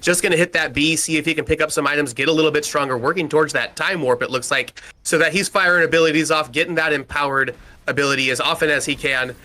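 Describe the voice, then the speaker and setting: A man calling an online match with a loud, powerful voice and rapid, energetic play-by-play. His tone is bright and forceful, and the words pour out quickly. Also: on the high side. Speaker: esports caster. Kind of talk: play-by-play commentary.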